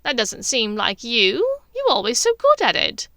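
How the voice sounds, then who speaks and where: A woman voicing a middle-aged dark witch, sneering, taunting and sharp, in a storybook reading. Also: fairly high pitch, wildly swooping pitch, unhurried, no room sound, exaggerated voice. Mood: brusque.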